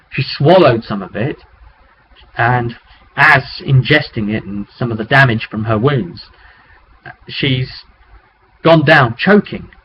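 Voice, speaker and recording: A British man chattily recapping a tabletop roleplaying session as game master, in a casual, informal vlog style with asides to the viewer. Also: mid-range voice. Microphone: webcam microphone. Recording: muffled webcam audio with clear noise.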